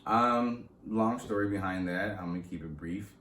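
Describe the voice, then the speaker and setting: A young man with a very low voice chats about where he comes from in a casual, slangy way. He speaks in an echoey room, with a faint background hum behind him. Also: moderate pace. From Southern California. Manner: slangy, animated storytelling with laughs. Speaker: rapper guest. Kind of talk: casual interview chat.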